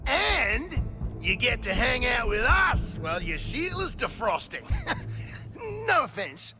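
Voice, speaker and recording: A man playing a brash, cocky villain in a video game, shouty and flippant. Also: extremely high pitch, big pitch swings, takes it slowly, over music, full character voice. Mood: mocking. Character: brash, cocky male villain, loud and mocking. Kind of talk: video game cutscene acting.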